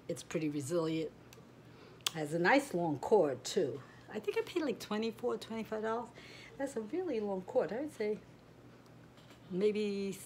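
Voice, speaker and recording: A woman's voice: lowish voice, darkish tone, a little hiss or hum. A middle-aged woman with a nasal, stuffy-sounding voice, chatting casually through a home crafting tutorial, meandering with lots of fillers. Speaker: crochet vlogger. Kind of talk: rambling casual tutorial talk.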